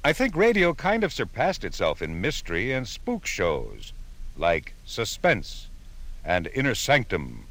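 Low-voiced, animated male documentary narrator. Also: unhurried, slight background noise. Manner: conversational, wry. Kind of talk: scripted nostalgic radio documentary narration.

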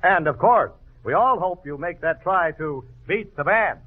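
A man's voice: a little high, animated, unhurried, loud music behind the voice. A man announcing in 1940s radio sponsor style, with upbeat salesmanship. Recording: tinny vintage radio broadcast.

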